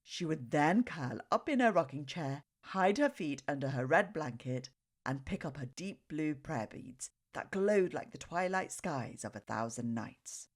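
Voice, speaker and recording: A woman reading a children's story aloud with expressive, dramatic phrasing. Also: low voice, a little slow.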